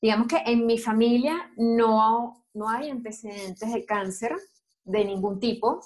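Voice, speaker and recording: A Venezuelan woman in her forties, a guest on an interview, recounting her experience in a steady, storytelling way. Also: fairly high pitch, a little slow, rough recording. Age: 40s. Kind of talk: video call interview.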